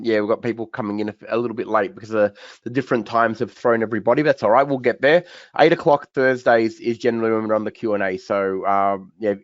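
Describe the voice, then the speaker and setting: A quick-talking man with a croaky voice hosts a live trading webinar, chatting through his charts with plenty of restarts. The audio sounds a little dull and very dry, with no room sound around him. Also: on the low side, no room sound. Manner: chatty, repeats words and restarts, many fillers. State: croaky, recovering voice. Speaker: trading educator hosting a webinar Q&A.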